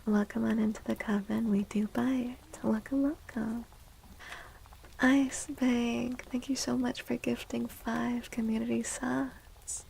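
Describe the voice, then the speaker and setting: Female ASMR streamer, soothing and whispery, with creaky edges and a mellow tone, close-miked over faint noise. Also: a little high, level tone, low-quality recording. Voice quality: breathy, often whispery. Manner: gentle, soothing, unhurried. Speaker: livestreamer reading tarot for viewers. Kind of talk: soft-spoken ASMR.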